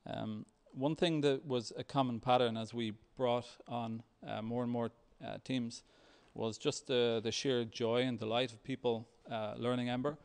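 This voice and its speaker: A man giving a software talk with a live demo, speaking in a halting, hesitant way. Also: mid-range voice, fairly even intonation, creaky, clean tone. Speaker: software developer presenting at a tech meetup.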